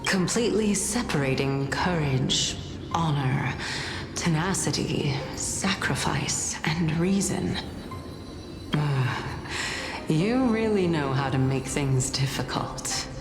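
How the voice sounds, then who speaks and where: A woman playing a thoughtful, grave female sage in a dramatic game, speaking in a measured, formal way. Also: deep voice, animated. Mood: grave, reluctant. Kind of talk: English game voice acting, dramatic. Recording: echoey hall reverb, music behind.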